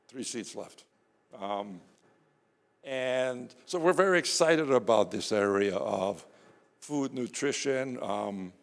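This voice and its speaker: Man, event host, informal opening remarks, unhurried slow delivery, reverberant hall. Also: mid-range voice. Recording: echoey hall.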